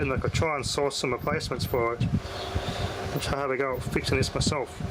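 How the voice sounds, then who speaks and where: Rambling man over loud hum. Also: mid-range voice. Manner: rambling, offhand, thinking aloud. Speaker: hobbyist radio restorer. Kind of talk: casual workshop vlog.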